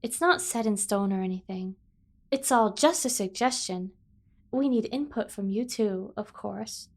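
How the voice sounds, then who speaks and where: A woman's voice: mid-range voice, unhurried. A woman voicing an obsessive, protective yandere sibling in an ASMR roleplay, speaking with earnest, over-the-top devotion. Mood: doting, earnest. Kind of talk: scripted ASMR roleplay addressed to the listener.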